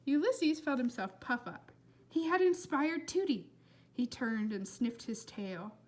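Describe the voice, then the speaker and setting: A woman reading a storybook aloud as narrator in an expressive style. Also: on the high side, a little slow. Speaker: storybook reader.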